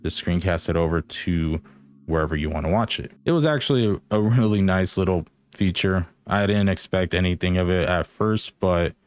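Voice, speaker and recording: A man's voice: sits low, moderate pace, dry recording. A man giving a casual gadget review, talking straight to viewers in an informal, slangy, playfully teasing way. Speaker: product reviewer.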